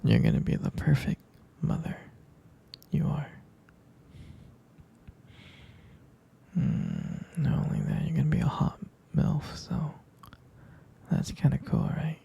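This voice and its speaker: A man acting a slow, whispering, tender husband. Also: on the high side, huge pitch swings, full character voice. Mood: tender, reassuring. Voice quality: soft breathy whisper, husky and creaky. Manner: slow, murmuring, reassuring, affectionate. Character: tender, devoted male partner and expectant father, soothing. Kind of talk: intimate ASMR roleplay, whispered.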